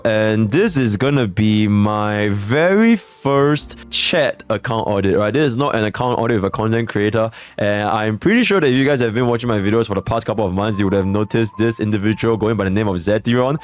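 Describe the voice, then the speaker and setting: A man hosts a casual interview over a call, with a low voice that swings widely in pitch. He is chatty and loose and laughs often, and faint music runs behind him. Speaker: host. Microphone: video call.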